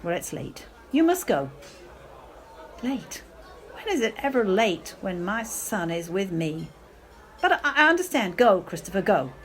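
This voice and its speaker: A woman's voice: medium pitch, sing-song, unhurried, a little hiss or hum. An amateur actress playing a bitter, drunken middle-aged mother in a radio play, theatrical and martyred, with dramatic hesitations.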